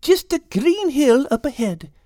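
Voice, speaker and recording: Woman as a soft, high little cat. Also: very animated, unhurried, dry recording, clean studio sound. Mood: hopeful.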